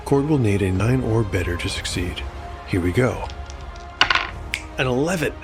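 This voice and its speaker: Low-voiced man narrating a solo tabletop game. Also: expressive, moderate pace. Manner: rolls dice aloud, reflective asides. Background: music.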